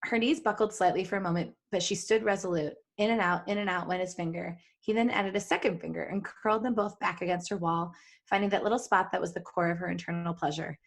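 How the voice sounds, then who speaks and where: A woman's voice: lowish voice, steady tone, fast, creaky. A woman hosting a podcast, reading fan fiction aloud in a playful, giggly way with chatty asides. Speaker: podcast host.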